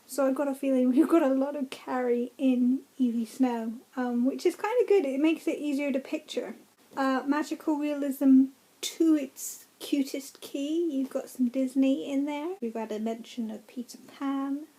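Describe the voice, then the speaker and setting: A woman's voice: high voice, moderate pace, mellow. A woman giving casual, gushing reactions as she reads through a book, with an enthusiastic way of talking.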